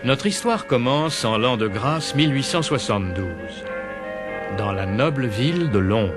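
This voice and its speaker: A man acts as the storyteller of an adventure cartoon. His scripted narration is grand and intriguing, with animated pitch. Music is clearly heard behind him. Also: unhurried, lightly acted. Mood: storytelling.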